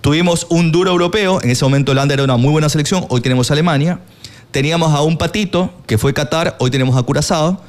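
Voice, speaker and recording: A man, giving measured football analysis on a sports talk panel. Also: on the high side. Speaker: football pundit.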